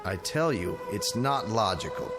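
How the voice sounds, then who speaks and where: Skeptical, lively-toned district attorney. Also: male voice, fairly low pitch, over music. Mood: skeptical, firm. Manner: measured, argumentative, lawyerly. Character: skeptical male district attorney, rational, stubborn, exasperated. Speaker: actor. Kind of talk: radio drama acting.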